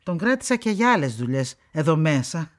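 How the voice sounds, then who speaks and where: A woman's voice: deep voice, very animated. An actress playing a stern, guarded older woman who runs a farm, curt and dismissive, in a naturalistic radio drama. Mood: guarded, matter-of-fact.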